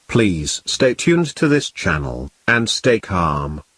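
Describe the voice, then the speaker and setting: A man playing a calm, grave emergency broadcast announcer, reading in a formal, measured and solemn way. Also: low-pitched, heavily acted. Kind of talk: scripted emergency broadcast narration.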